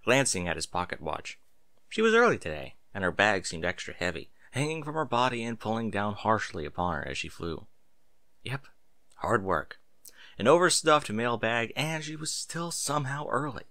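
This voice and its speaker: A man's voice: fairly low pitch, sing-song, moderate pace, sharp tone, no room sound. A man reading a story aloud as scripted narration, with an emphatic, theatrical delivery. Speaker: audiobook-style story reader. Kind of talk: expressive scripted narration of fan fiction.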